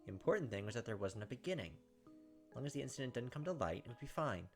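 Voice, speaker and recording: A man reads visual novel narration aloud in a quick voice of middling pitch. He hosts a gaming playthrough, slipping in brief remarks of his own, with game music behind him. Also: lively intonation. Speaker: gaming channel host doing a playthrough. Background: visual novel background music.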